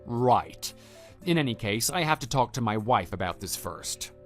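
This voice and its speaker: A man playing a level-headed, skeptical husband with a measured, hesitant delivery in an audio drama. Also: mid-range voice, lively intonation, soft background music, lightly acted. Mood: skeptical, controlled. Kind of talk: scripted texting-story radio drama.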